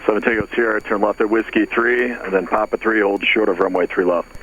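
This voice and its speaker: Male controller, very low and brisk, terse procedural instructions through a slightly noisy aviation radio. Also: flat delivery, a bit bright, dull audio. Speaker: air traffic controller. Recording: noisy air traffic radio.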